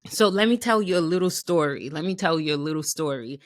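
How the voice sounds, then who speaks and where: A woman's voice: sits low, lively intonation, brisk, dry recording, clean studio sound. A woman from Haiti hosting her own show, telling her story in an earnest, reflective way and often repeating her phrases. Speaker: host.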